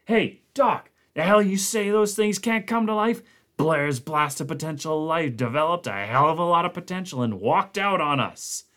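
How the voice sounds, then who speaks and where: A man voicing an angry, frightened expedition member who swears and snaps in a raised, gruff voice. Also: on the high side, moderate pace, pushed and bright, pitched above the performer's own voice.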